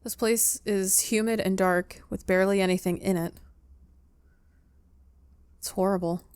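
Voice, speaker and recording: A woman's voice: medium pitch, steady tone, a little slow, a little mellow, clear, resonant tone, dry recording. A woman playing a visual novel and reading its lines aloud dramatically, as in a playthrough. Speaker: game player reading aloud.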